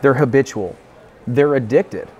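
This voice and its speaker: A man's voice: mid-range voice, unhurried, dark tone, dull audio. A man in his thirties, a business owner talking informally in an interview and repeating phrases for emphasis. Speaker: roofing company co-owner being interviewed. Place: large hotel-style lounge, indoors. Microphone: lapel mic.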